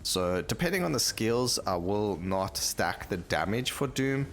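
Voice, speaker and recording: A man's voice: lowish voice, expressive, unhurried, fairly bright tone, slight background noise. A man hosting a gaming video, casually thinking aloud and explaining his choices to viewers as he plays. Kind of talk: casual gameplay commentary. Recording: game music behind the voice. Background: video game music.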